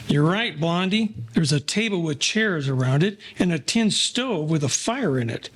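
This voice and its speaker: A man playing a steady gangster companion in a 1940s audio play. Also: a little high, normal speed. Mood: watchful. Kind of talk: audio play character acting.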